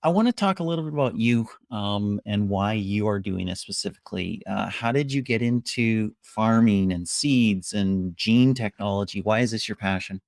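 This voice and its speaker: A man's voice: fairly low pitch, moderate pace, gravelly, hardly any pauses, no room sound, studio quality. A middle-aged man hosting a tech show, asking curious, conversational questions from his home office over a video call.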